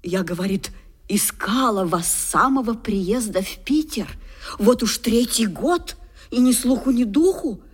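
A woman's voice: fairly high pitch, expressive, a little slow, slightly rough. An actress playing a poor old Russian woman in a radio drama, with folksy, wailing, coaxing speech, scolding yet tender. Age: older.

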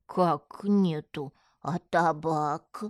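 A woman voicing a confused, decrepit old man with a creaky voice and a plaintive, drawn-out manner. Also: low-pitched, no echo, studio quality, pitched below the performer's own voice. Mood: hopeful pleading.

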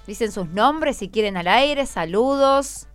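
A woman's voice: on the high side, animated, measured pace, clean tone, faint music behind. A woman with a Buenos Aires accent hosting a school radio show, encouraging and full of questions as she talks. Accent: Argentine, Buenos Aires. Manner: encouraging, asks many questions. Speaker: radio host. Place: radio studio.

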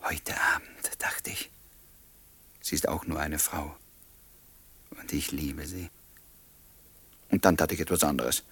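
An actor playing a gentle, secretly infatuated confidant in a German radio play, speaking in a measured, intimate way. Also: male voice, a bass voice, steady tone, breathy edge, no echo, heavily acted. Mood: yearning, brooding.

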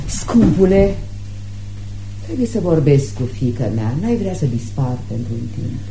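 A woman's voice: low-pitched, big pitch swings, vocal fry, mellow, a little hiss or hum, exaggerated voice, low-quality recording. An actress playing a brash, fussy middle-aged mother with haughty airs in a radio theatre production. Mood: fussy, imperious.

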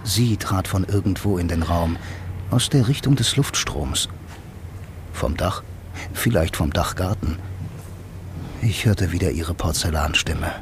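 A man's voice: sits low, unhurried, long pauses, a little hiss or hum. An actor playing a blind bank clerk who narrates his own story in a dry, laconic, musing way for a radio drama. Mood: sober, observant. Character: blind male bank clerk, wry film noir loner.

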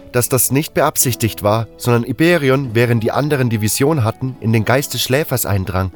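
A man's voice: mid-range voice, close to an everyday voice. A man voicing a learned, brooding mage's apprentice in an audio drama, reasoning step by step. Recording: music under the voice.

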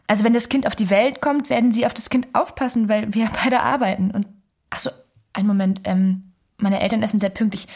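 An actress playing a candid, cheerful Chinese wife in a naturalistic radio play, talking in a matter-of-fact, conversational way. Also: female voice, medium pitch, fairly even intonation, a little fast, darkish tone, natural voice. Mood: practical, brisk.

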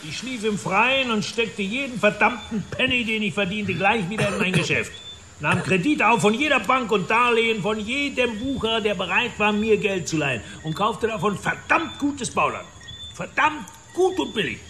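Swaggering older developer, domineering and coarse, high sharp voice, radio play. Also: male voice, animated, moderate pace, a little hiss or hum. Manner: gruff, swaggering, coarse storytelling. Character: boastful, domineering older self-made male Scottish-born property developer. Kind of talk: German radio drama acting.

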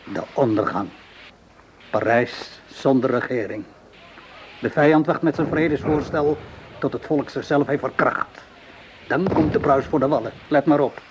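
An actor playing a middle-aged, cynical Parisian tavern keeper in a radio play, speaking in a weary, sardonic, measured way. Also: male voice, medium pitch, close to an everyday voice. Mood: grim, weary. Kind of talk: Dutch radio play acting. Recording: noisy old radio play recording.

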